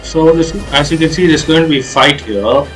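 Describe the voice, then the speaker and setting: A man's voice: a little high, fairly quick, a bit bright. A man giving casual, explanatory commentary on a game playthrough, hesitating often with filler sounds. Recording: distant mic over game music.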